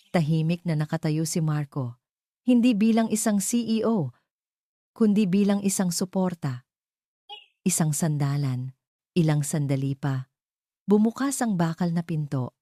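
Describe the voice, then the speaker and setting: Low-voiced woman narrating a sentimental story. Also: warm tone, dry recording, studio quality. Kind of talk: scripted dramatic romance story narration.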